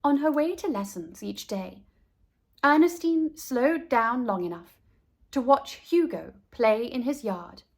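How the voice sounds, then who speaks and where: A woman reading a picture book aloud to young listeners as a storyteller, deliberate and emphatic, speaking to them directly. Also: on the high side, animated. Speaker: read-aloud storyteller for children. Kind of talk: expressive picture book narration.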